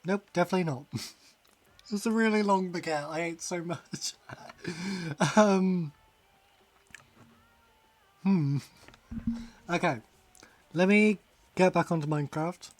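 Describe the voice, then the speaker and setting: A man's voice: high-pitched, slow pace, long pauses, no room sound. A man chatting casually on a game stream, rambling, laughing often and reading chat aloud. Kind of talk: casual live-stream chat while gaming.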